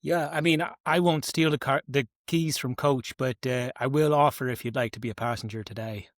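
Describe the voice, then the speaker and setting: A man's voice: mid-range voice, fairly even intonation, fairly quick, no room sound, studio quality. A man playing a tabletop role-playing game, adding casual descriptive banter in character and out.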